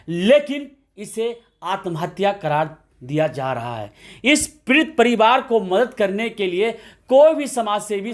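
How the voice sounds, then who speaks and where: High-pitched male news reporter, emphatic. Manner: emphatic, dramatic delivery with rhetorical questions. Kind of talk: field reporting, addressing viewers and interviewing. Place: at a family's home.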